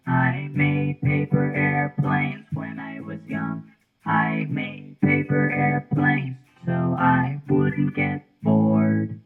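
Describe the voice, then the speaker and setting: A man's voice: fairly high pitch, extremely slow, creaky. A man demonstrating a vocoder, his half-sung words turned synthetic by the effect. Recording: tinny band-limited vocoder sound over guitar.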